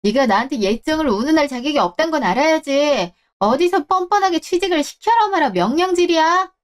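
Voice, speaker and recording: A woman's voice: sits high, animated, brisk, voice pushed up. A woman playing a proud ex-wife and company executive in a radio drama, scolding with cutting, defiant scorn. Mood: exasperated, defiant. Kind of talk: Korean radio-drama story acting.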